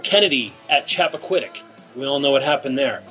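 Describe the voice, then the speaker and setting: A male radio show host leading deadpan teasing banter in a halting, filler-filled setup. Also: mid-range voice, highs cut off, faint music behind.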